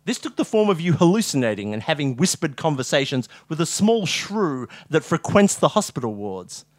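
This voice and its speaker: Military hospital doctor, male performer: formal, explanatory, tactful comic-story figure with a fairly high voice. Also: very animated, normal speed, no room sound. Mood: measured.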